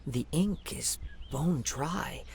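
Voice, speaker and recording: A man playing a calm, gentle young wandering samurai in a video game, speaking in measured, formal, poetic phrases. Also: fairly high pitch, gravelly, a little hiss or hum, heavily acted. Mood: measured, explaining. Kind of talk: scripted video game voice acting.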